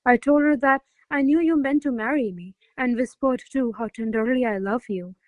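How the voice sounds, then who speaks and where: Woman playing a lovesick young maid, gushy romantic verse, higher voice, really dry sound. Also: normal speed, no room sound, phone-quality sound, natural voice. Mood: elated, adoring. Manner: effusive, romantic, theatrical. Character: devoted, excitable young lady-in-waiting in love. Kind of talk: verse stage comedy, recited as radio play.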